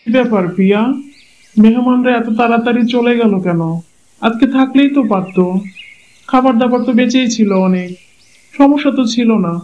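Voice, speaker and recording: Cartoon dub: a man as a calm, trusting young husband, Bangladeshi Bengali accent, higher male voice, dull lo-fi audio. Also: moderate pace, pauses often. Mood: puzzled. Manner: calm, reasonable explaining. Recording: dull lo-fi sound.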